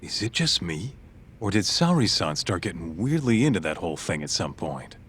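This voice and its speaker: Deep, lively, brash sidekick. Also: male voice, moderate pace, slightly rough, exaggerated voice. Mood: casual, joking. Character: brash, casual tough male sidekick of a detective. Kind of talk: naturalistic video game cutscene acting.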